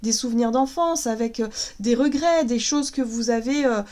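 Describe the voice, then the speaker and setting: A woman's voice: on the high side, normal speed, darkish tone. Woman with a cold reading tarot. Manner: hesitant, many fillers and restarts. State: has a cold, sniffly. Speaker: tarot card reader. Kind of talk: casual tarot reading addressed to viewers.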